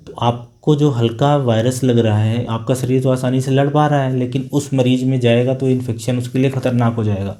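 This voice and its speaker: A man, a doctor, giving a calm and patient health talk, plain-spoken and pausing now and then with small fillers. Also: medium pitch.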